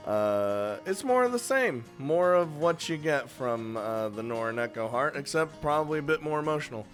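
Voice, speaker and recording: A man's voice: a little high, big pitch swings, normal speed, a bit bright, soft background music. A man hosting a casual, unscripted countdown of games, chatty and crude, swearing freely. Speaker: video host and game reviewer.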